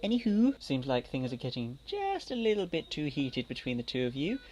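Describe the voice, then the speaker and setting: A man's voice: mid-range voice, wildly swooping pitch, fairly quick, darkish tone, slight background noise, poor audio. A man playing a playful, flippant, mildly bossy character in a comic audio drama. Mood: amused, flippant.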